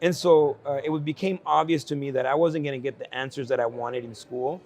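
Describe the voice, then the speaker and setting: A man in his forties from El Salvador, a guest speaking earnestly at an investment conference about his life, with frequent fillers and quick laughs. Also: mid-range voice, steady tone, a little mellow. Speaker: guest entrepreneur. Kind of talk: candid conversational storytelling.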